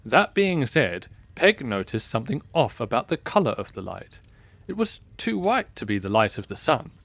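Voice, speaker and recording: A man narrating a scripted fantasy audio drama in a measured, expressive storybook style. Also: mid-range voice, gravelly, no room sound. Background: music.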